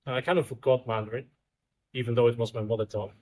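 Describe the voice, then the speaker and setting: A man's voice: mid-range voice, steady tone, rapid-fire, darkish tone. A man in his twenties from the Netherlands, talking about himself in a casual, joking way as a podcast guest. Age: 20s. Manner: easygoing and joking, with small restarts. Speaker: guest, researcher. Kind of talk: casual chat. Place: outdoors in Bali.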